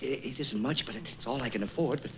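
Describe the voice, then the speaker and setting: A man's voice: medium pitch, fairly quick, a bit bright, close to an everyday voice. Man as a meek clerk, faintly noisy recording. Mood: deferential. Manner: deferential, hesitant, timid. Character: meek, humble, good-natured English clerk, deferential to employer. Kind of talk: old-time radio drama acting. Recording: noisy vintage radio recording.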